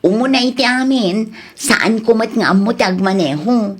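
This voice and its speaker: A woman's voice: a little high, moderate pace, breathy edge. A woman playing a nosy, sceptical younger neighbour in an Ilocano comedy radio drama, with an Ilocano Filipino accent. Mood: curious, doubting.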